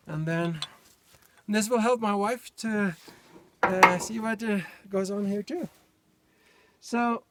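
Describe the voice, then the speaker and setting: A Norwegian man with a Norwegian accent, showing a hitch step by step in a halting way, full of fillers, recorded outdoors on a camera mic. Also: high voice, background noise. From Norway. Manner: halting, searching for words, many fillers. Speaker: DIY vlogger. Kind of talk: hands-on how-to demonstration. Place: outdoors by a pickup truck bed.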